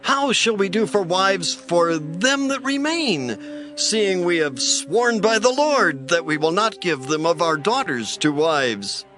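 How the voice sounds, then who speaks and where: Man acting a high-voiced, grave Israelite elder. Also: very animated, slow pace, music in the background, heavily acted. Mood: sorrowful. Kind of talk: dramatized scripture acting.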